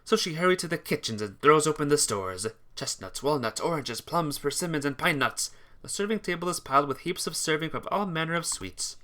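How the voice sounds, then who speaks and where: A man's voice: fairly high pitch, expressive, a little fast, sharp tone. A man reading a scripted story aloud as narrator, with the occasional small stumble. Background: instrumental music.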